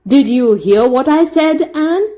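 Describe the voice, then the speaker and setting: A woman's voice: sits high, animated, slow, warm tone, pitched above the performer's own voice. A woman voicing a stern, sarcastic male schoolmaster in a storybook reading, giving cold commands. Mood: stern, commanding. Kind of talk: storybook character voice in read-aloud.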